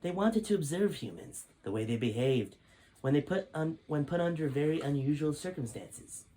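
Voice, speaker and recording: A man's voice: a little high, animated, moderate pace, warm tone, voice pushed up, lightly acted, poor audio. A man reading the part of a stern, grave male five-star general who lectures in a serious tone. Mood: lecturing.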